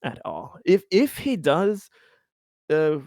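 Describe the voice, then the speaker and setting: A man playing a flustered middle manager in a tabletop game, reassuring in a halting, repetitive, evasive way. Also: on the high side, huge pitch swings, gravelly, a little mellow, no echo, clean studio sound, pitched above the performer's own voice, heavily acted. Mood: evasive, veiled threat. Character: flustered, evasive male colony middle manager placating a colleague. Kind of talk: improvised tabletop roleplay.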